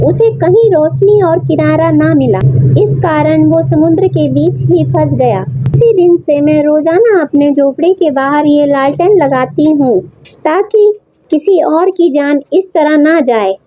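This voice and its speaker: A kindly old cartoon grandmother played by a woman speaks in a high voice. She is earnest and sorrowful, a poor village elder telling her story. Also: heavy background noise. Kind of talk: Hindi moral-story cartoon dub.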